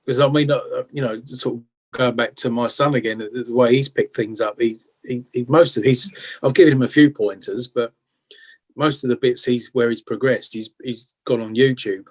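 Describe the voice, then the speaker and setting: A middle-aged man with an English accent chatting casually as an interview guest, reminiscing in an informal, halting, self-effacing way. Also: medium pitch, fairly even intonation. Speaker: interview guest, blues rock guitarist.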